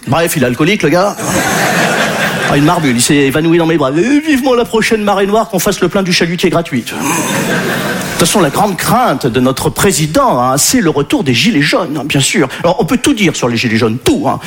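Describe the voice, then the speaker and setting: A man's voice: fairly high pitch, very animated, a little fast, noisy background. A man doing a wry, conversational stand-up monologue peppered with tag words. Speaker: stand-up comedian.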